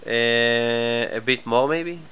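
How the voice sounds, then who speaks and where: A man with a cold and a stuffy nose, chatting casually through a live game stream, his asides often trailing off quietly. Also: mid-range voice, slow, a little hiss or hum. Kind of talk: live gameplay commentary.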